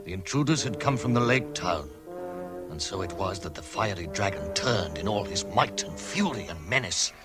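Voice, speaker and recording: A man's voice: low voice, expressive, normal speed, over loud music. A man narrating a fantasy audio drama as a grave, dramatic storyteller.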